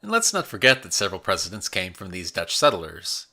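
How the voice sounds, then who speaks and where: A man hosting a history podcast, reading a scripted narration in a measured, explanatory way. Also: low voice, huge pitch swings, pushed and bright.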